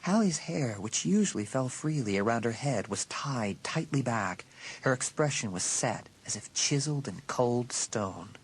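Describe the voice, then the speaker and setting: Animated, slightly husky male narrator. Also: medium pitch, moderate pace, rough recording. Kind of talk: dramatic scripted audiobook narration.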